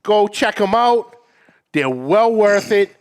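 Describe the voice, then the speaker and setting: A man with a somewhat high voice hosts a casual live show. He chats loosely and presents with an announcer's hype, keeping the banter informal. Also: lively intonation, takes it slowly, clean tone. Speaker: show host. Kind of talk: casual livestream banter and guest introduction.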